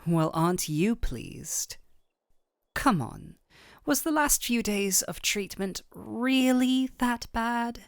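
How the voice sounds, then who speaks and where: Unhurried, gentle fantasy doctor, played by a woman. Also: a little high, animated, dry recording, clean studio sound. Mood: mock exasperated. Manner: soft, breathy near-whispers, affectionate teasing. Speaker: audio roleplay performer. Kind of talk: intimate fantasy audio roleplay acting.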